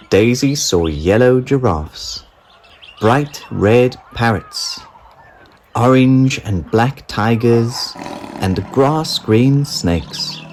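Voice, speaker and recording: An adult narrator reading a children's picture book aloud in an expressive storytelling style. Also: mid-range voice, a little hiss or hum.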